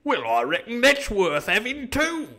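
A man acts a superstitious storybook pirate. He speaks in rough sailor's talk, as someone easily unsettled. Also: very high-pitched, wildly swooping pitch, measured pace, bright, forceful tone, pitched above the performer's own voice, full character voice. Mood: relieved. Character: uneasy, superstitious male pirate named Dick. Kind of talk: theatrical storybook reading.

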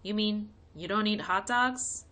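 A brash schoolboy from a children's storybook, acted out by a woman reader. He is blunt and excitable, with a bright, forceful edge and a raised pitch. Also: a little slow. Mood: incredulous.

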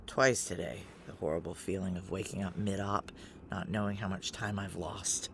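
Hard-edged woman on the run, intense first-person noir sci-fi drama, halting urgency, noisy vehicle ambience. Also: rumbling low, steady tone, creaky. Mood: anxious. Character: tough, desperate female fugitive narrating a sci-fi heist.

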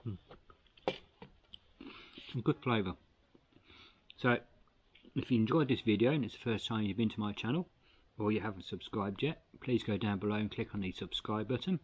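A man's voice: lowish voice, normal speed, long pauses, slightly rough. An older man from Australia, chatting casually as he cooks and tastes camp food at a picnic table in the bush, recorded by a camera across the table. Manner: chatty and relaxed, talks while eating. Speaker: outdoor vlogger. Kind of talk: casual camp-cooking review. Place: outdoors in bushland at a picnic table.

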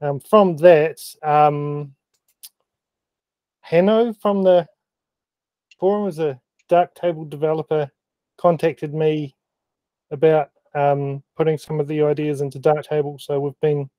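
A man with a broad New Zealand accent talks through his editing experiments on a video call. He speaks slowly in a fairly high, mellow voice, pausing for ums and small laughs. The sound is dry and close. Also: steady tone, clear, resonant tone, dry recording. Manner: halting, many ums, self-deprecating laughs. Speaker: meeting participant presenting photo-editing experiments. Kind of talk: casual technical explanation on a video call.

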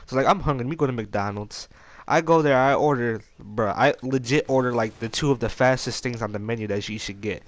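A young adult American man speaks as a casual gaming YouTuber telling a story. His delivery rambles along in loose slang, with an exasperated edge, at an ordinary middle pitch. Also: a little fast, no echo. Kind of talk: casual storytime chat over gameplay.

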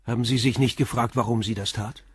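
A patient, probing police inspector in a radio crime drama. His voice is husky and sits in a middle range. It is recorded close and dry. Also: mid-range voice, fairly quick, no room sound. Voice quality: calm, probing questions. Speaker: detective questioning a suspect. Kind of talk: radio play acting.

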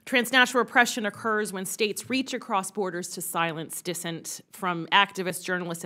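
A woman's voice: medium pitch, pushed and bright. A woman in her forties with a mid-range voice delivers prepared testimony as a witness at a hearing. She reads steadily and earnestly, leaning on key words. Her voice is picked up by a desk microphone in a large hearing room. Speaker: expert witness.

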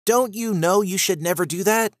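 Man as a high-pitched, cautioning storybook dog. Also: normal speed, no echo, clean studio sound, lightly acted. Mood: alarmed scolding. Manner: urgent, admonishing. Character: concerned male dog friend, earnest and cautioning. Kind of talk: children's storybook character.

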